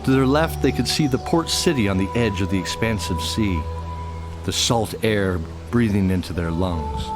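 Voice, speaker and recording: A man's voice: lowish voice, animated, normal speed. A man narrating a scripted fantasy radio drama as its storyteller, with music underneath.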